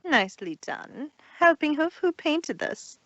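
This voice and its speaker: A smug storybook pony villainess, acted out by a woman, schemes in a dry, commanding way. Her pitch swings theatrically, and the sound is close with no room around it. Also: sing-song, unhurried, no echo, lo-fi sound. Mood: scheming.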